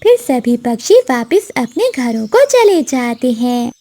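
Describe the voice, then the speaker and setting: A woman's voice: on the high side, sing-song, measured pace, warm tone. A woman narrating a scripted children's story as the storyteller.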